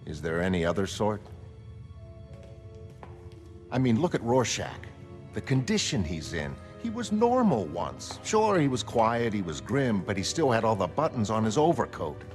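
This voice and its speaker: Comic-book drama: male actor as earnest ex-vigilante, middle-aged, low voice, low-key and wistful, music underneath. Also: very animated, moderate pace. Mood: reflective, troubled.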